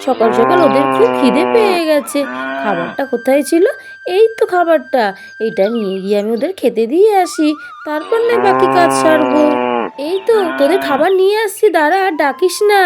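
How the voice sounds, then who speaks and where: A woman's voice: sits high, sing-song, fairly quick, heavy background noise. A woman voicing a busy young village housewife in a children's doll cartoon, chattering to herself in a homely way about chores. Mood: fond scolding.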